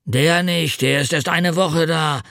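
A hungover wailing road worker. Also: male voice, fairly high pitch, fairly even intonation, a little slow, creaky, fairly bright tone, no room sound, studio quality, pitched above the performer's own voice. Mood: groaning. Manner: groaning, wailing, slurred complaints. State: drunk and sick. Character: hungover, whining older male road worker.

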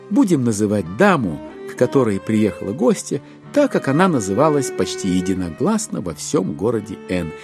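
A male narrator with a mid-pitched, highly animated voice delivers scripted literary prose. His manner is wry and theatrical, and faint music sits behind him. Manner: expressive, wry.

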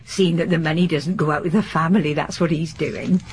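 A woman's voice: low-pitched, fairly even intonation, brisk, a little mellow, a little hiss or hum. An actress playing a garrulous middle-aged village landlady in a radio drama, with a chatty, folksy manner. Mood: chatty, hospitable. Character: gossipy, garrulous older village landlady and charwoman. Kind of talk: broadcast radio drama acting.

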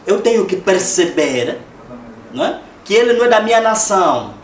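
A man's voice: high voice, very animated, moderate pace. Angolan man lecturing in echoey room. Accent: Angolan Portuguese. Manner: conversational, rhetorical tag questions. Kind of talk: public lecture. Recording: echoey room, distant mic, noisy.

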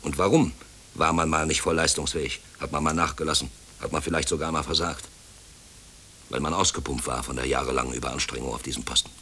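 An actor playing a brusque, overworked middle-aged company director in a German radio play, officious and self-pitying. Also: male voice, extremely low pitch, fairly even intonation, fast, sharp tone. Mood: bitter, brooding. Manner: brusque, officious, brooding when alone. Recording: hissy old radio play recording.

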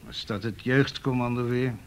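An actor playing a weary, wry, middle-aged police officer in a Dutch radio play, dry and hesitant in manner. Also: male voice, mid-range voice, moderate pace, a little hiss or hum, natural voice. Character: weary, wry older male police officer in youth affairs.

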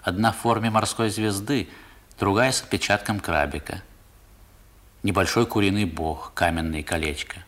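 An actor playing a grown man recalling his boyhood, narrating a 1960s radio drama in a measured, literary way with dramatic pauses. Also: fairly low pitch, a bit bright. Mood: wistful, descriptive.

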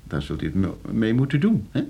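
Suave scheming nephew, swooping husky voice. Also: male voice, fairly low pitch, huge pitch swings, a little fast, highs cut off, exaggerated voice. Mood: calculating, suave. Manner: suave, condescending, calculating. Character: scheming, debt-ridden nephew coolly arranging his uncle's murder. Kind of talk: Dutch radio play acting.

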